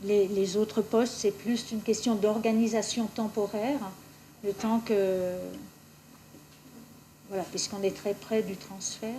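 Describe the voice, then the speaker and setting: Female official, soft-edged higher voice, formal meeting explanation, faint noise behind. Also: flat delivery, slow, poor audio. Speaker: municipal official presenting a council resolution. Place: municipal council meeting.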